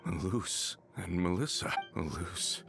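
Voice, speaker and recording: A man playing a talkative, rough, hot-headed henchman in a video game. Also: sits low, animated, takes it slowly, exaggerated voice. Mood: shocked. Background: game music.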